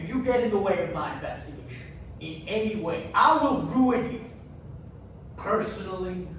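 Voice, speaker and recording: A man's voice: sits high, fairly bright tone. A man playing a zealous, accusatory investigator in a readers theatre stage performance. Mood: menacing. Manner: accusatory, insistent. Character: zealous, threatening male investigator hunting satanic cults. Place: large echoey indoor room, distant mic. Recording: echoey hall from far off, noisy.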